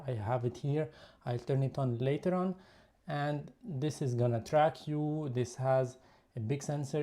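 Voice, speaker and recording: Lebanese guy hosting a photo live stream, super dark mellow voice, chatty, showing off his gear. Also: medium pitch. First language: Lebanese Arabic. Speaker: YouTube photography channel host. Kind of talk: casual live stream talk.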